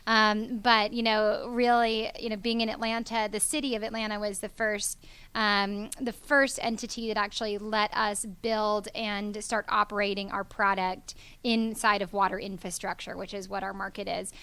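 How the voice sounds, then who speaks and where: A woman's voice: mid-range voice, flat delivery, normal speed, bright, forceful tone, smooth. A young woman from Georgia in the US, a company founder speaking earnestly as an interview guest, with many ums and you knows. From Georgia, USA. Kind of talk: business interview.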